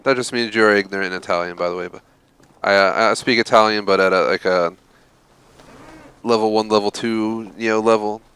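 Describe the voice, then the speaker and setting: A man's voice: fairly low pitch, steady tone, a little fast, a bit bright, clean tone. A man hosting a live game stream, chatting casually and rambling with lots of fillers. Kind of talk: casual live-stream chat while gaming.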